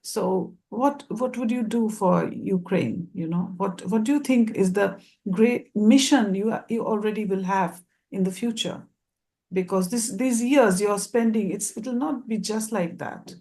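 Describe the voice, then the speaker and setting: A woman's voice: mid-range voice, a little slow, dark tone. A woman moderating a panel interview, asking long rambling questions with repeated words.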